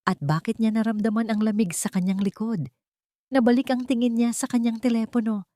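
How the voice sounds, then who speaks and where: A woman's voice: fairly high pitch, steady tone, brisk, warm tone, dry recording. A woman reading a scripted, dramatic story as narrator.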